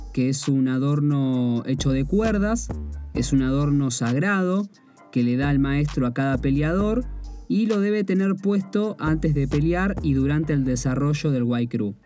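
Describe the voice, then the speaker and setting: A young man from Argentina with an Argentine Spanish accent, calmly explaining a martial art and restating points with asides. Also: on the high side. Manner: measured, explains terms with "o sea" asides. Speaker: martial arts practitioner presenting. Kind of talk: explanatory presentation. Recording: faint guitar music behind.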